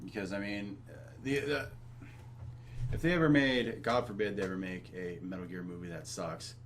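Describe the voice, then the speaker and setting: A man co-hosting a casual chat, speaking in hesitant, halting phrases. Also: low voice. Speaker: podcast co-host. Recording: faint hum and noise.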